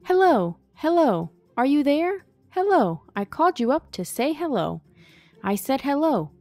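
A woman's voice: high-pitched, very animated, measured pace, warm tone, dry recording, faint music behind, pitched above the performer's own voice, heavily acted. A woman voicing a storybook creature on the telephone, calling out in an insistent, exclamatory way. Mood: eager, insistent.

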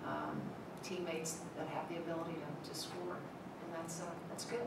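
A middle-aged woman coach at a postgame press conference, speaking in a measured way with many ums and restarts. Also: deep voice, sing-song. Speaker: head basketball coach. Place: press room, seated at a table. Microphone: table mic, at a distance. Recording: echoey room, mic at a distance, noisy.

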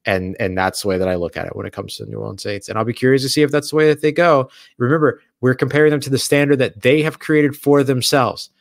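A man's voice: mid-range voice, fast, creaky, few pauses, dry recording, clean studio sound. A man co-hosting a sports podcast, explaining his points earnestly and step by step. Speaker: podcast co-host. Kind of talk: sports analysis chat. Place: hotel or studio room away from home.

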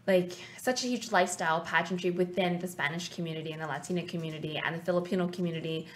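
A woman's voice: fairly low pitch, steady tone, rapid-fire, a little hiss or hum. Earnest young Canadian woman talking. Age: 20s. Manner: earnest, reflective, rambling with fillers. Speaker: guest. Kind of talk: casual interview chat. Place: small TV studio set. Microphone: desk mic on stand.

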